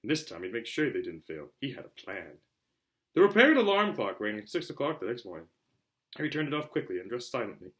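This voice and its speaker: A man narrating a children's novel aloud in an animated way, occasionally stumbling over words. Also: mid-range voice, fairly quick. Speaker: reader, show host. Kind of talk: amateur audiobook-style story narration.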